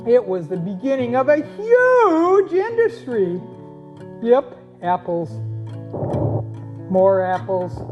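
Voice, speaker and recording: A woman voicing an excitable, folksy pioneer farmer in a children's puppet show, full of exclamations. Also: sits high, heavily acted. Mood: proud. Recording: dull lo-fi audio, guitar music behind.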